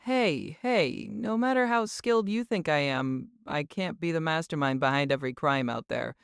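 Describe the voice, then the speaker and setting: A woman's voice: low voice, lively intonation, normal speed, vocal fry, clean tone, dry recording, clean studio sound, pitched below the performer's own voice. A woman voicing a shrewd old noblewoman mage in an audiobook, with a breezy, teasing and nonchalantly menacing manner. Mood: breezy deflection. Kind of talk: audiobook character acting.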